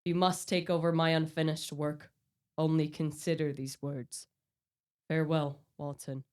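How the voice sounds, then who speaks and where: Woman playing low-voiced vengeful dying male scientist. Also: a little mellow. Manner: solemn, anguished, melodramatic declaiming. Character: dying, vengeful male scientist obsessed with hunting his creation. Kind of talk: theatrical radio play acting.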